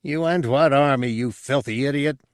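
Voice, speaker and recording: A man's voice: mid-range voice, moderate pace, clear, resonant tone, no echo, clean studio sound, pitched above the performer's own voice, natural voice. Man voicing a small, earnest reptilian alien pupil. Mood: taunting.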